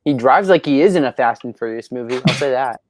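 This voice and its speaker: A man's voice: medium pitch, lively intonation, quick pace, dry recording. Laughing teenage guy hosting a Q&A. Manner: upbeat, laughs often, teasing banter. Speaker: host of a Q&A livestream. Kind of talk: casual chat, reading viewer questions. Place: at his rural home.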